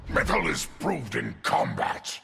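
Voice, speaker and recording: Game villain: bloodthirsty monstrous warrior, heavily gravelled growl with lively swoops, curt menace. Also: male voice, mid-range voice, unhurried, a little hiss or hum, heavily acted. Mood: menacing.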